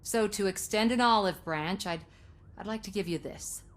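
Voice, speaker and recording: An actress playing an earnest, assertive television news reporter in a video game, polished and articulate. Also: female voice, mid-range voice, normal speed, natural voice. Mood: conciliatory.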